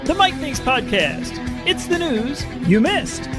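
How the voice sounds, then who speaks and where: Slow, swooping promo man over music. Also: extremely high pitch, sing-song. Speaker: podcast promo announcer. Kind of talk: scripted promo read. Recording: music under the voice.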